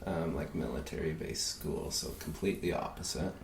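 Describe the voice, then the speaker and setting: A man in his thirties who grew up in Peru, a podcast guest speaking haltingly with many fillers and restarts. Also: rumbling low. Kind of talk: casual conversation. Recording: echoey room with faint noise.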